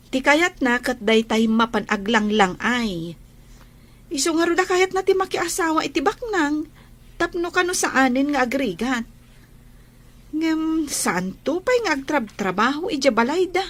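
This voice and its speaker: A woman acts a kind young village woman with an Ilocano accent in an audio drama. Her voice sits very high and she takes her words slowly, sounding modest and friendly. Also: expressive. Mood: matter-of-fact. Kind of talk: amateur Ilocano radio-style comedy drama acting.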